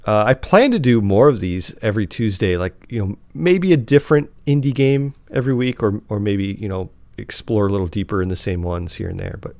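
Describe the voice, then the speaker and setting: A man's voice: on the low side, lively intonation, brisk, hardly any pauses, darkish tone, dry recording. A man hosting a podcast in a casual, informal and slightly rambling chat style. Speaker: podcast host.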